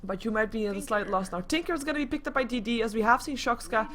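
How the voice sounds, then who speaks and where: High-voiced woman commentating a game. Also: quick pace, a bit bright. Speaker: esports commentator. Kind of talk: live game commentary, casual and chatty.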